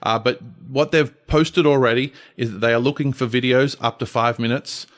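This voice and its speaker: A man's voice: mid-range voice, steady tone, normal speed. A man chatting casually as a podcast co-host.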